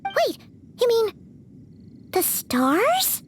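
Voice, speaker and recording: A woman voicing a tiny, childlike fairy companion in a fantasy video game, squeaky, chatty and cheeky, with an exclamatory manner. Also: wildly swooping pitch, very slow, slight background noise, heavily acted. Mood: surprised, unsure. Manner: exclamatory, speaks of self in third person.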